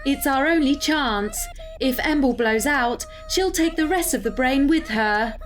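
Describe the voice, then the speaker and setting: Slow-spoken, level-headed female AI. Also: high-pitched, soft background music. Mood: urgent. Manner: measured, reasoning. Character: level-headed female artificial intelligence, peacemaker and leader. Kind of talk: audio drama acting.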